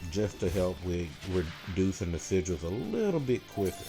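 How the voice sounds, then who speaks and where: A man with a deep, low-pitched voice talks through gameplay as a guide. His delivery is casual and procedural, calling out each move as he makes it. Prominent game music plays loudly behind him. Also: very animated, normal speed. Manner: casual, step-by-step play-by-play. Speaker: gameplay guide creator and commentator. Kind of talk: live gameplay commentary, walkthrough.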